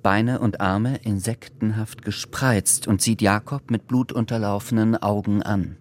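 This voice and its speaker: A man narrating a scripted radio drama in a measured, literary storytelling manner. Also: fairly low pitch, steady tone.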